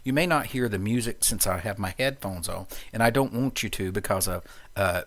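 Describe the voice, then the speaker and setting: A man narrating a software tutorial in a casual, plain-spoken way, explaining things step by step. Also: lowish voice, fairly quick.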